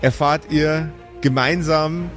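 A man's voice: a little high, steady tone, slow, fairly bright tone, soft background music. A man running a live improvised tabletop audio drama as game master, narrating and joking with the players. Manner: playful, stammering in chat. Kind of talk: narration and banter.

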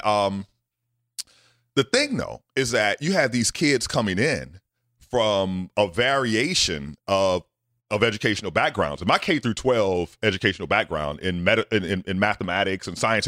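Man, middle-aged, low voice, lively rise and fall, dry close sound, talkative guest. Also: dry recording. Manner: animated, with many repeated "right?" asides. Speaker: guest scientist. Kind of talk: storytelling in a podcast interview.

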